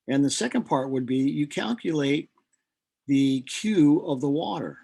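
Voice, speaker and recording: A man giving an informal, deliberate lecture as a chemistry teacher, working through equations step by step. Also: fairly high pitch, expressive, vocal fry, dark tone, slightly rough.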